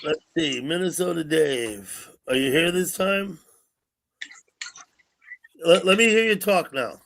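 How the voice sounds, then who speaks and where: Guy in his 60s hosting a stream chat, kinda high voice, rambles and repeats stuff over a computer mic. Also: slow pace, creaky, a bit bright, slight background noise. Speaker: livestream host. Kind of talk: casual call-in chat.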